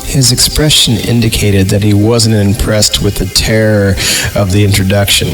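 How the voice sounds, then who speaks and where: A man's voice: on the low side, steady tone, normal speed, a bit bright, rough recording. A man hosting a horror podcast, reading stories aloud and breaking off for sarcastic, improvised jokes, with music behind him. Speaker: podcast host and reader. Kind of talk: creepypasta reading with joking asides.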